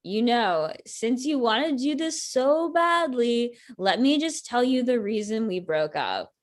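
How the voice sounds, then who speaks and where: Female actor as needling ex-girlfriend, thirties, highish voice, blunt, sarcastic, conversational table read. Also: animated, a little slow. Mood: indignant. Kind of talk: script table read, conversational comedic acting.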